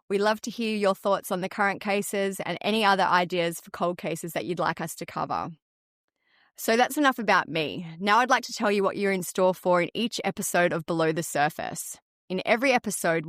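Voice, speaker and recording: A woman's voice: medium pitch, a bit bright, no room sound, studio quality. Australian woman hosting a podcast. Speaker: podcast host. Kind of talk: scripted true crime podcast narration.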